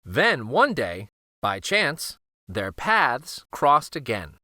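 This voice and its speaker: Animated, bright-voiced male children's storyteller. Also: mid-range voice, very slow, dry recording, studio quality. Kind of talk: scripted storybook read-aloud narration.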